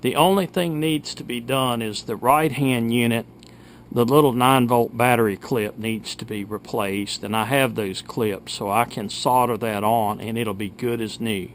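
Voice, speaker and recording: A middle-aged man with a creaky, gravelly voice takes his time talking. He sounds folksy and chatty, like someone casually showing off old gadgets, with a faint hiss of noise behind him. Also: medium pitch, measured pace. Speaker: video host. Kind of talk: casual vintage gadget showcase. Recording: steady background noise.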